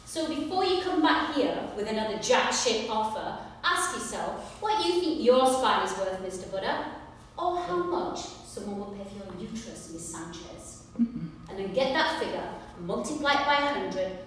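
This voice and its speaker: A woman playing a sharp-tongued, indignant lawyer in a theatrical stage monologue, combative, sarcastic and emphatic. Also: a little high, lively intonation, normal speed, natural voice. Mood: cutting, sarcastic. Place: large echoing performance room, distant microphone. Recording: echoey hall from far off, faint noise.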